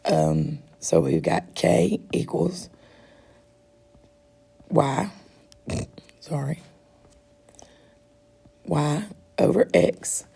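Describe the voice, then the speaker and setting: A woman teacher with a stuffed-up, froggy throat explains math slowly and patiently. Her voice is heavily gravelly and deeply husky, and she sits a little low in pitch. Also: warm tone. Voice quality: raspy. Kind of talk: recorded math lesson walkthrough.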